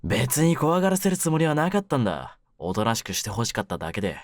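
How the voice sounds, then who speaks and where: A man playing a menacing young mafia kidnapper in an intimate voice drama, speaking low with rough, smug, teasing words. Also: big pitch swings, fast, no echo, clean studio sound, lightly acted. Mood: awkward, softer. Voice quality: low-pitched, hushed at menacing moments. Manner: rough masculine speech, smug mock politeness. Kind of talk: ASMR situation voice drama, intimate one-sided roleplay.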